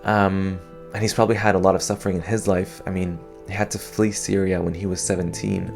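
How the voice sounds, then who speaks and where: A man's voice: deep voice, level tone, a little fast, vocal fry, darkish tone, faint music behind. A young man from Canada speaking in a thoughtful, hesitant way, with many fillers and restarts, as he reflects on personal experience. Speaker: podcast host.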